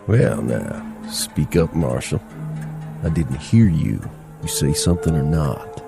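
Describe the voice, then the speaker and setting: A threatening Old West gunman in a radio drama. His voice is bottomlessly low and thick with gravel, swinging in pitch as he taunts, with music behind. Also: big pitch swings, slow pace, heavily acted. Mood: menacing, taunting. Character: menacing, taunting male Old West gunslinger.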